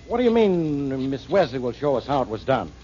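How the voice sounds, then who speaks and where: A man's voice: medium pitch, sing-song, fairly quick, dark tone, faint music behind, lightly acted. A man playing a blustering police inspector. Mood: indignant. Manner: indignant, blustering. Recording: dull old radio broadcast with hiss.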